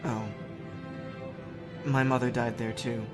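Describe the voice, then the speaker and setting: Guy doing a lonely guilty young recruit, anime fan drama, hesitant and earnest, normal pitch, music under it. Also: flat delivery, takes it slowly, close to an everyday voice. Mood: sorrowful. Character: guilt-ridden, lonely, soft-hearted young male recruit. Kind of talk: fan dub anime parody acting.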